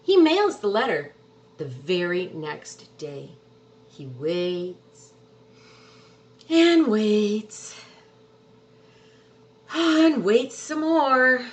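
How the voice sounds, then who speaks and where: A woman reading a children's storybook aloud expressively, drawing out words for emphasis. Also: mid-range voice. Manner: animated, drawn-out words for emphasis. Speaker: storybook reader. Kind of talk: expressive children's picture book read-aloud.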